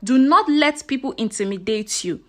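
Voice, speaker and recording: An emotional mother in a spoken folktale, played by a woman narrator. Her voice is high and swings widely as she speaks with dramatic emphasis, fiercely protective of her child. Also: lively intonation, normal speed. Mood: earnest, caring. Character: heartbroken, indignant mother, protective of her young daughter. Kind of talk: folktale storytelling character dialogue.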